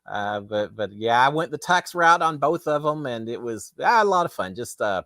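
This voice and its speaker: Slightly raspy man, lively pitch. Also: moderate pace, no room sound, clean studio sound. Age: middle-aged. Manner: rambling with frequent restarts and fillers. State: slightly raspy, tired throat. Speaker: livestream host. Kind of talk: casual live chat answering viewer comments.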